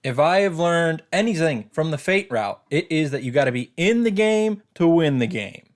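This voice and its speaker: A man's voice: on the high side, animated, normal speed. A man let's player reading visual novel narration dramatically, with casual commentary of his own. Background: game music.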